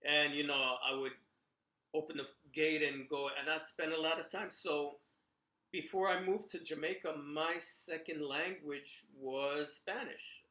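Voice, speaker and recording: Middle-aged New man, bright, slightly high voice, chatty storyteller, echoey room. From New York, Bronx. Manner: conversational, frequent "you know" and "right?". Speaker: commentator sharing memories. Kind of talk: casual monologue.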